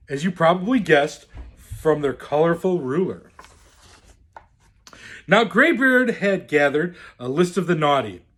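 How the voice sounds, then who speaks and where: A man reading a rhyming children's storybook aloud in a theatrical, deliberate, expressive way. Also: high voice. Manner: theatrical, deliberate, swooping delivery. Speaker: storyteller in the role of Santa.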